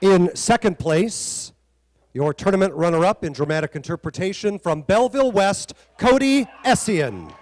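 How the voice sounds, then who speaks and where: A man's voice: high-pitched, big pitch swings, normal speed. A man working as an awards announcer, making ceremonial announcements to an audience at an event. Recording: event hall with music and crowd.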